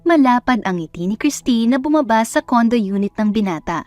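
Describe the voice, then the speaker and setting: High-voiced woman narrating a romance. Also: lively intonation, fairly quick, darkish tone, soft background music. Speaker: storyteller. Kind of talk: scripted romance story narration.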